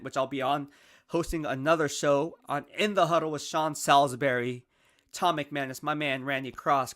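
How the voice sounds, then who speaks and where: A man hosting his own football analysis show, talking casually and energetically, often checking in with "right?", while losing his voice. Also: a little high. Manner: energetic, conversational, peppered with "right?" and "all right?". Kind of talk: casual sports commentary monologue.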